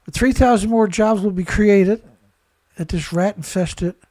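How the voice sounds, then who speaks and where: A man's voice: sits high, a little slow, dark tone, no echo. A man hosting a solo news commentary, stuffed up, rambling and indignant, full of rhetorical questions. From Canada. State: stuffed-up nose. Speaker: host.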